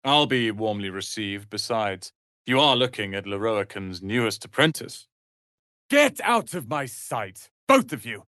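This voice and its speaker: A man's voice: fairly low pitch, big pitch swings, measured pace, bright, forceful tone, no echo, exaggerated voice. A proud young wizard's apprentice for a fantasy game. He speaks in a pompous, cutting, theatrical way, with very close, dry studio sound. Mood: anguished anger. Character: haughty, ambitious young male wizard's apprentice, proud and sardonic. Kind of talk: dramatic video game voice acting.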